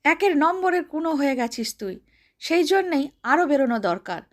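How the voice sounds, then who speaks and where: A bossy, stout older woman, as a female storyteller plays her, with a high voice and a sharp tongue. She scolds brashly in homely colloquial speech, ordering people about with rough affection. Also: very animated, moderate pace. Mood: bossy, mocking.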